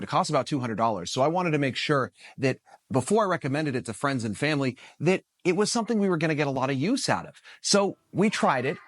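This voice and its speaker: A man in his thirties, a stay-at-home dad, reviewing a toy in a chatty, upbeat way, his voice husky from a cold. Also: mid-range voice, fairly bright tone, no echo. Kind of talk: casual product review to camera.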